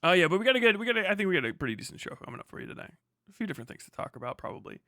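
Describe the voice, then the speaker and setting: A man's voice: high-pitched, sing-song, rapid-fire, sharp tone, no room sound, clean studio sound. A man hosting a livestream with casual, rambling, sarcastic chat and plenty of swearing, sounding tired. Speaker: livestream host.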